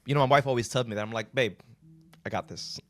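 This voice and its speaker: A man's voice: mid-range voice, quick pace, a bit bright, no echo. Dry-sounding male podcast host, chatty. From Massachusetts, US, then Florida. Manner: chatty, rambling questions, friendly. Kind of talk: casual interview talk.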